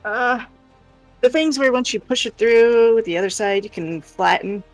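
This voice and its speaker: A woman giving a casual show-and-tell of her crafts on a livestream, explaining in a halting, self-interrupting way. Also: on the high side, dry recording, soft background music, low-quality recording. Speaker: crafter showing crochet projects on a livestream.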